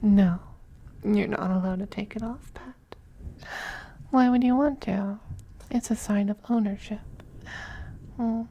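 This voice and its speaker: A performer voicing a possessive, androgynous male vampire master in an ASMR roleplay, soft, breathy and often whispering, coaxing and condescending. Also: medium pitch. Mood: teasing, possessive. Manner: slow, purring, coaxing, condescending. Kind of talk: ASMR roleplay, intimate scripted monologue.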